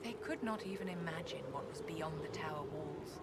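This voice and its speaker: Haughty, refined court mage, loud background noise. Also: female voice, deep voice. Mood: grave, disdainful. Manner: poised, condescending, measured and articulate. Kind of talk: scripted video game dialogue.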